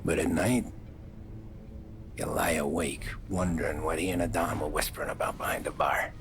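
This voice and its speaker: A man's voice: extremely low pitch, flat delivery, normal speed, creaky, fairly bright tone, heavily acted. An actor playing a weary, terse American mobster and hitman in a noir video game. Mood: uneasy, reflective. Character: weary young mobster, loyal hitman, narrating his story. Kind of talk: noir game narration and dialogue. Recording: music behind the voice.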